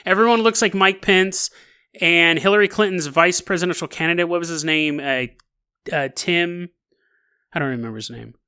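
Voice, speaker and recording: High-pitched, bright-voiced man podcasting. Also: moderate pace, smooth. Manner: jokey, self-amused, chuckles through his points. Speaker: podcast host. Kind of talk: casual solo storytelling about conspiracy theories.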